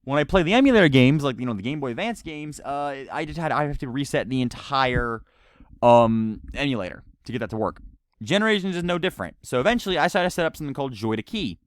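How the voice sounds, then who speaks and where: A man's voice: mid-range voice, expressive, fast, no room sound. A man giving an unscripted, ranting video game review, stammering and repeating himself as he rambles along.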